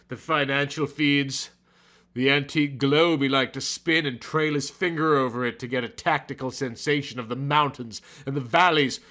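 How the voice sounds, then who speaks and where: A man's voice: medium pitch, sharp tone. Theatrical man narrating a brooding story. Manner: theatrical, brooding, overwrought delivery. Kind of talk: dramatic scripted narration.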